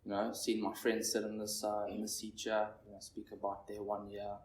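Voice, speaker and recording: A nervous young man in his twenties from Zimbabwe, sharing his recovery story at a meeting in a halting, rambling way. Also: on the low side, monotone, a little mellow. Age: 20s. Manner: halting, rambling, heavy on fillers. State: nervous, shaky. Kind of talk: personal recovery share. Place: meeting room with an audience. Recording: echoey room, distant mic, faint noise.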